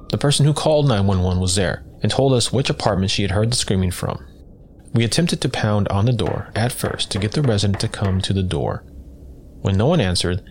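Low-voiced man narrating a scary story. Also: a little mellow. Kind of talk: scripted narration of a first-person scary story. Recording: clear background noise.

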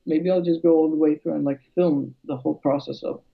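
Gravelly-voiced man, halting casual chat. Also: on the high side, fairly even intonation. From Albania. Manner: hesitant, many fillers and restarts. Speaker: music producer and YouTuber. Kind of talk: casual conversation. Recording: dull lo-fi sound.

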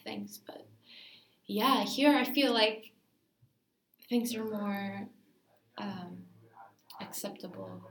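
A woman's voice: medium pitch, drawn-out words, pauses often, roomy sound. A woman from Greece, a dancer being interviewed, talking casually and thinking aloud with many fillers.

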